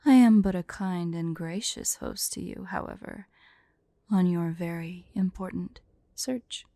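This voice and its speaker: Actress as tsundere female dragon in human form, ASMR roleplay. Soft, dark-toned, slow, haughty and teasing. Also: fairly low pitch, no echo. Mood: smug, teasing. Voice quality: soft, mellow.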